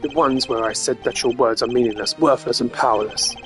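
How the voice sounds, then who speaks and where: A streamer voicing a smug, haughty older male ambassador villain in a video game, pompous, sneering and deliberate. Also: mid-range voice, over music, close to an everyday voice.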